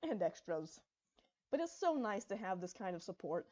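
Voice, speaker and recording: A woman's voice: medium pitch, big pitch swings, normal speed, a little mellow, lo-fi sound, natural voice. A woman voicing a laid-back, modest young female pony in a storybook fan fiction reading. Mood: relaxed.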